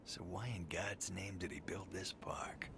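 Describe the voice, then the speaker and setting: A man's voice: low voice, fairly even intonation, a little slow, vocal fry. A man playing a bitter, sardonic estranged son of a tycoon in a video game cutscene, speaking with dry weariness. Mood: bitter, haunted. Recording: noisy game capture.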